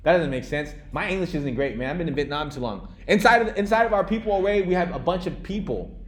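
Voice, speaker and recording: A man's voice: on the high side, animated, fast talker, slight background noise. A young man teaching a live classroom coding lesson, talking casually with lots of restarts and checks like "okay?" and "right?". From United States. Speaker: coding instructor.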